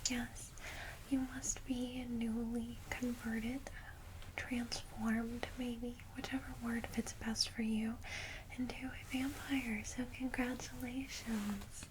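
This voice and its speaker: A woman whispers softly and gently in an ASMR roleplay as a kindly, reassuring vampire secretary guiding a newcomer. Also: on the high side, level tone, slow. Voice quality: soft breathy whisper. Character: kindly vampire secretary welcoming a newly turned vampire. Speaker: ASMR roleplay creator playing a guide to new vampires. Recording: close whisper with clear background noise.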